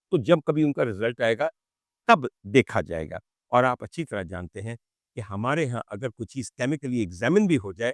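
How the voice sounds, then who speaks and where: A man hosts an opinionated monologue in a dry, close recording. His mid-pitched voice moves around in a lively way as he speaks. He is indignant and sarcastic, leaning on rhetorical questions, repetition and deliberate pauses to drive his points home. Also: dry recording. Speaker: commentary show host. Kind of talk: opinionated monologue commentary addressed to viewers.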